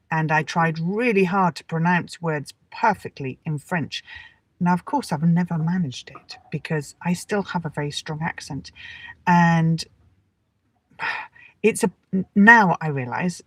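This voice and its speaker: A middle-aged woman with an English accent teaching in a relaxed, chatty and encouraging way, speaking directly to her listeners. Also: low voice. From England. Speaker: English teacher hosting a live lesson. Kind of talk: relaxed, chatty livestream talk with personal anecdotes. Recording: roomy echo, distant from the mic.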